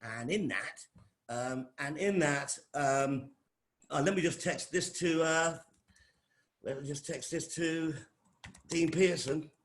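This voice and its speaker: A man's voice: on the high side, takes it slowly, pauses often. A middle-aged man with an English accent giving an informal welcome talk as a college lecturer, often repeating phrases and restarting his sentences. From England.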